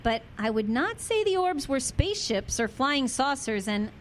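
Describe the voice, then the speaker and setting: An actress playing an excitable, awestruck small-town woman in an audio drama, speaking earnestly and emphatically. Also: high-pitched, normal speed, slight background noise. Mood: amazed.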